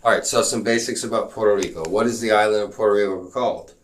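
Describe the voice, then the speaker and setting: Casting man, lowish voice, live online teacher, rambling and jokey, set back from the mic. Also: steady tone, quick pace, far from the mic. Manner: rambling, many fillers and restarts, joking asides. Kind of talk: live-streamed class lecture.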